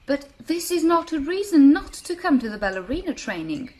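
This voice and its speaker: A woman voicing a brisk, cheerful young rabbit in a storybook, lecturing emphatically. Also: sits high, very animated, darkish tone, pitched above the performer's own voice. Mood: questioning.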